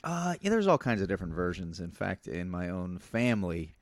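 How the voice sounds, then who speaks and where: A man's voice: deep voice, lively intonation, no room sound. A comedian guest, a man born in Iran and raised in the United States, talking casually with many fillers and restarts. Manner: halting, many fillers and restarts, soft-spoken. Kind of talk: casual podcast chat.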